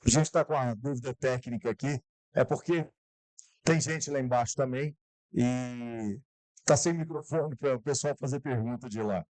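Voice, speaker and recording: A man's voice: mid-range voice, normal speed, a little mellow, dry recording, rough recording. A man hosting a live corporate event, chatting casually and talking straight to the audience. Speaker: event host.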